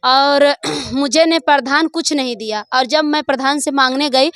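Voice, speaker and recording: A woman answering interview questions conversationally, halting and repeating herself as she explains. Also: sits high, a bit bright, no echo. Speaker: interviewee, folk singer.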